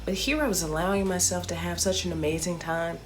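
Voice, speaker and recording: A woman's voice: on the low side, fast talker. Chatty woman telling a travel story. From Chicago, United States. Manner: chatty, animated storytelling with playful asides. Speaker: comedian and travel vlogger.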